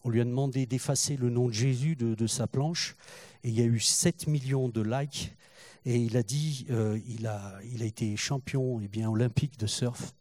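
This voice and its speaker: An older man preaching a sermon in French, halting and repeating words, rising in fervor as he goes. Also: medium pitch, fairly even intonation, dark tone. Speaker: pastor.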